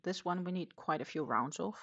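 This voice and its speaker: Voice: medium pitch, brisk, fairly bright tone, no echo, lo-fi sound. A crochet livestream host from Denmark with a Danish accent, chatting casually and answering viewer comments while working. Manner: thinks aloud, with many fillers and trailing sentences.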